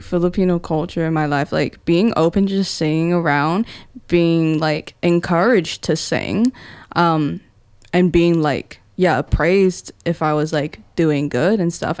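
Young kiwi woman chatting casually, super lively pitch, playful and slangy. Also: moderate pace, clear, resonant tone. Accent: New Zealand. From Wellington, New Zealand. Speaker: indie musician, interview guest. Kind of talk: casual chat.

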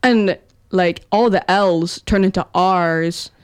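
A woman's voice: on the low side, slow pace, clean tone. A young woman with a New Zealand accent chatting casually as an interview guest, in a playful, slangy way. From Wellington, New Zealand. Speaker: indie musician, interview guest. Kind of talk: casual chat.

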